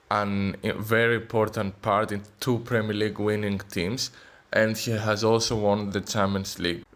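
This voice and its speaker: A man from Greece with a Greek accent, English his second language, reading out his football opinion as a listener's voice message. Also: fairly low pitch. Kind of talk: recorded voice note.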